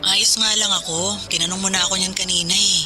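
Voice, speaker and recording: A woman's voice: mid-range voice, little pitch movement, quick pace, close to an everyday voice. An actress playing a stern, practical Filipino seaman's wife in a radio drama, firm and exasperated with her husband. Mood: matter-of-fact. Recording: loud music under the voice.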